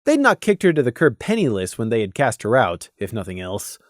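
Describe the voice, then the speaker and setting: An expressive storyteller reads scripted fiction aloud. The delivery is dramatic, with a very lively, mid-pitched melody and deliberate pauses. The sound is dry, with little room in it. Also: dry recording. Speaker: audiobook narrator.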